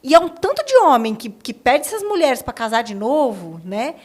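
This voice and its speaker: A woman's voice: high-pitched, sing-song, moderate pace, darkish tone. A Brazilian woman hosting a conversational podcast interview, speaking emphatically and colloquially and often checking for agreement. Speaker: podcast host.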